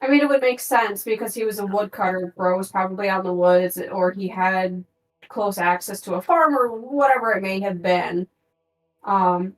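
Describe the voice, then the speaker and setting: A woman's voice: mid-range voice, echoey room, poor audio. A woman talking informally as a tabletop game player, posing questions and speculating about a mystery. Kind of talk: casual discussion of a mystery in a game.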